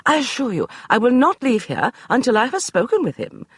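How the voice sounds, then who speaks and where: A man voicing an imperious upper-class Regency lady with a cool, crisp, commanding voice that brooks no argument. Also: extremely high pitch, huge pitch swings, brisk, dry recording, clean studio sound, voice pushed up, exaggerated voice.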